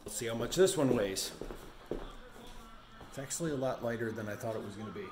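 A man's voice: medium pitch, fairly quick, noisy background. Middle-aged man thinking aloud. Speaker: vlogger running a home resale business. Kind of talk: casual vlog narration, thinking aloud.

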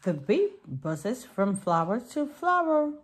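A woman reading a picture book aloud for small children in simple, deliberate sentences. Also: mid-range voice, sing-song.

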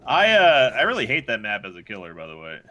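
A man's voice: on the low side, wildly swooping pitch, fast talker, bright, forceful tone, dull audio. A man talking casually with friends as he plays a video game. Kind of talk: casual chat while gaming with friends.

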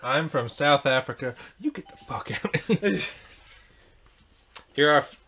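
A man's voice: a little high, lively intonation, slow, sharp tone. An American man co-hosting a game livestream with casual, crude, joking banter. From United States. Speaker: game streamer co-host.

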